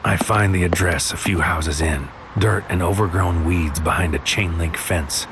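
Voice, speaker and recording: An actor playing a brooding, desperate psychic soldier who narrates his mission in a terse, grim, hushed audio-drama monologue. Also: deep voice, normal speed, background noise, lightly acted. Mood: grim, intense. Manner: terse, grim, intense, clipped poetic phrasing. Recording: loud music behind voice. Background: loud music.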